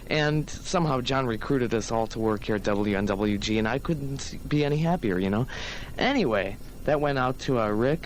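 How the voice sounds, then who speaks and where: A young American man hosting a 1980s college radio show, talking informally and chattily as a DJ. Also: fairly low pitch. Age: young adult. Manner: chatty, informal, often says "you know". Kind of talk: 1980s radio show patter, introducing songs. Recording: noisy 1980s radio air-check tape.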